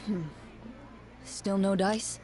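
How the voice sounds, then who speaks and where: A woman's voice: mid-range voice, level tone, drawn-out words, dark tone, a little hiss or hum. Composed, serious young duelist woman. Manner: composed, matter-of-fact. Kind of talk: video game voice acting.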